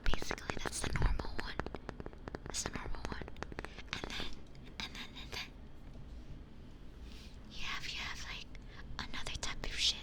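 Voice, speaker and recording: A woman's voice: normal speed, long pauses. A woman whispering breathily in a playful, rambling way, chatting casually as an ASMR streamer close to a binaural microphone. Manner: playful, rambling, repeats phrases. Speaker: ASMR livestreamer chatting with viewers.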